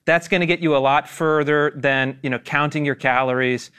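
A man on a panel giving a measured, earnest opinion. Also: on the high side, fairly even intonation, sharp tone, clean tone. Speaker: guest commentator. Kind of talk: opinion commentary.

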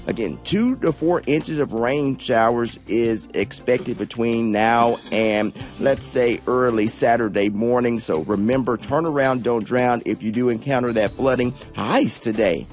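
A man's voice: mid-range voice, measured pace, hardly any pauses, darkish tone, faint music behind. A man, a meteorologist giving an upbeat, chatty weather report on radio. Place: radio studio.

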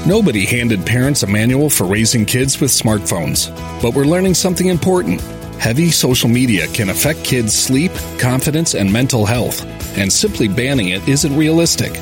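A man's voice: medium pitch, lively intonation, moderate pace, music in the background. A man reading a commercial voice-over in an earnest, explaining tone. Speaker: announcer.

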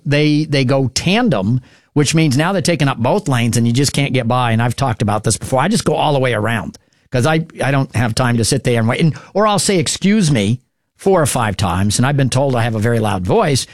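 A man's voice: medium pitch, lively intonation, brisk, no echo, clean studio sound. A man hosting a call-in radio show, chatting in a rambling, easygoing way and laughing often. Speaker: radio talk show host.

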